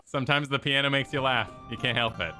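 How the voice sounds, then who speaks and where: A man's voice: mid-range voice, fast. A man chatting casually and jokingly as co-host of a game reaction stream. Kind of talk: casual chat commentary. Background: faint game music.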